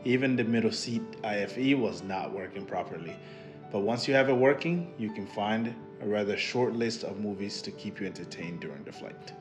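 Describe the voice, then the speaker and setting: A man with a fairly low voice delivers a scripted travel review. Loud music plays behind his narration throughout. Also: expressive, moderate pace. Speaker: travel vlogger. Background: loud stock music.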